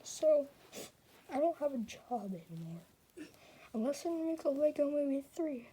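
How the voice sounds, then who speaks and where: Woman acting a high whiny little toy hero, indignant, protesting, emphatic, puppet-show comedy. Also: sing-song, measured pace, warm tone, slight background noise, low-quality recording. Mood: self-pitying. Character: indignant, whiny toy movie star pleading poverty. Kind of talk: improvised puppet show dialogue.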